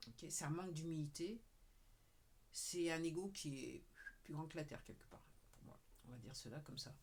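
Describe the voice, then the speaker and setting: A woman with a fairly low voice speaks straight to her viewers in a loose, rambling monologue. She repeats "ok" often and sometimes sinks to a quiet murmur. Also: moderate pace, slightly rough. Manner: addresses viewers directly. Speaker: video creator. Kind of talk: casual talking-head monologue.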